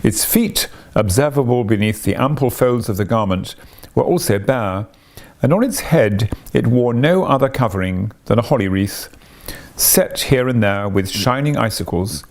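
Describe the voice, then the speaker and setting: A man reading a classic story aloud as scripted narration, in a dramatic, deliberate storytelling manner. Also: medium pitch, expressive. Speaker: audiobook narrator.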